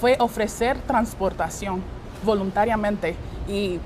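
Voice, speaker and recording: A woman's voice: mid-range voice, slow, a little mellow, a little hiss or hum. A woman, giving interview answers as a voter. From Dominican Republic. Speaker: Republican voter.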